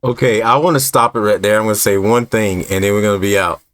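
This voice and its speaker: A man's voice: on the low side, fairly even intonation, quick pace, a bit bright. A man hosting a gaming channel, giving casual, informal, rambling commentary.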